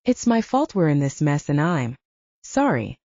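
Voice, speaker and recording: A woman's voice: low voice, sing-song, unhurried, mellow, no echo, highs cut off, full character voice. A woman voicing a wry, bossy but anxious young heroine in an audiobook story. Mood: sorrowful.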